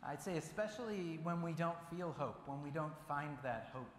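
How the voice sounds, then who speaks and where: A man's voice: fairly high pitch, expressive, moderate pace, a little hiss or hum. A man leading a church event, doing playful, theatrical magic-show patter for children with joking, hesitant asides. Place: large indoor church hall with an audience. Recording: echoey reverberant hall, music behind.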